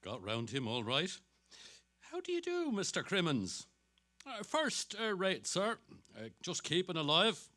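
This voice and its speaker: A man's voice: high-pitched, huge pitch swings, unhurried, pushed and bright, full character voice. An actor playing a vain, dapper middle-aged salesman who chatters to himself in a dramatic stage reading. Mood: hearty, obsequious. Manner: self-satisfied, muttering to himself between hearty greetings.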